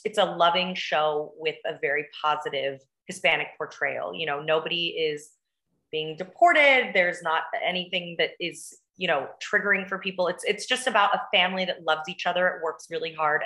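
A woman with an American accent speaks earnestly in an interview. Her voice is fairly low but bright and forceful, recorded over a video call in a very echoey room. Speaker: actress, interview guest.

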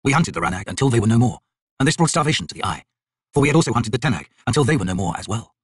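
Male reader as male shaman, storybook fantasy, thoughtful, grave, husky, expressive pitch. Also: very fast, dry recording, clean studio sound, distant mic. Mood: solemn, reflective.

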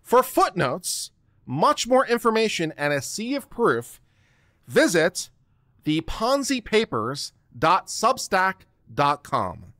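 A man's voice: high-pitched, big pitch swings, slow pace, fairly bright tone, no room sound. A middle-aged man reading a text aloud in a dramatic, emphatic, declamatory manner into a close desk microphone. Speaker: host of a legal commentary livestream. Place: home studio room, close and dry. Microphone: desk microphone close to the mouth.

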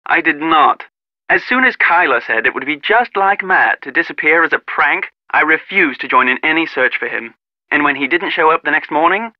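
A man's voice: a little high, no room sound, highs cut off, close to an everyday voice. An actor playing a prim, irritable Englishman in a video game, speaking in precise, clipped, pompous phrases with a British accent. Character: prim, irritable young Englishman, disdainful of pranks. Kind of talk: video game character dialogue.

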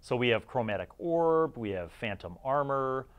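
A man's voice: mid-range voice, expressive, moderate pace, clean tone, phone-quality sound. A middle-aged man giving casual, opinionated review commentary in an informal, conversational way as the host of a series.

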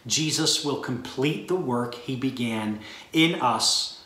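A middle-aged man, a pastor, giving a recorded sermon to graduates in an earnest, measured way from a small room. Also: medium pitch, lively intonation, pushed and bright, echoey room. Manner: earnest, measured preaching delivery. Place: small room with a bookshelf, indoors.

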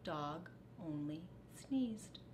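A woman's voice: low-pitched, lively intonation, drawn-out words, background noise. A middle-aged woman reads a children's story aloud with dramatic, teacherly phrasing. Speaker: storybook reader. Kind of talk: expressive children's read-aloud. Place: living room, on a couch. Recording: echoey living room.

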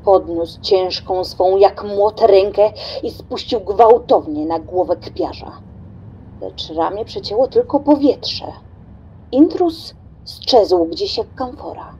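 A woman's voice: medium pitch, lively intonation, slow pace, warm tone, muffled recording, slight background noise. A woman reading scripted literary narration for an audio drama.

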